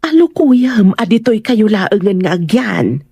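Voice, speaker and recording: Woman acting a husky, reassuring mother. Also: mid-range voice, sing-song, unhurried, warm tone, no echo, heavily acted. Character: reassuring mother of a grown daughter. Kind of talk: Ilocano radio-style drama dialogue.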